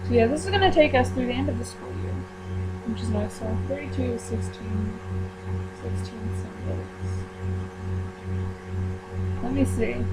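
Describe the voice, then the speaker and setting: A woman's voice: on the high side, big pitch swings, a little slow, long pauses, over loud music, poor audio. A young woman vlogger talking casually, rambling through her plans with many fillers. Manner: rambling, filler-heavy planning talk. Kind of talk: casual chat. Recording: mains hum behind voice.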